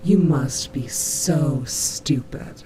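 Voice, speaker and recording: A woman's voice: deep voice, background noise. A woman voicing a menacing, taunting nightmare animatronic rabbit in a scripted audio roleplay, with a mocking, theatrical delivery. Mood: mocking, gloating. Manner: slow, mocking, theatrical drawl with whispered asides.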